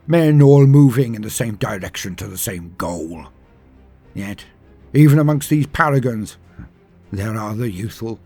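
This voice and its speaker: A man delivering grandiose scripted narration like a stirring speech, emphatic and full of deliberate dramatic pauses. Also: on the low side, darkish tone, husky. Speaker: narrator of a fan lore channel.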